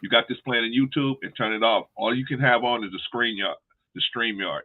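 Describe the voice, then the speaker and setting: A man hosting a casual livestream over a video call, relaxed and reassuring, calling his guests brother and sis. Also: medium pitch, a little fast. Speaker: livestream host. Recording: tinny phone-like call audio.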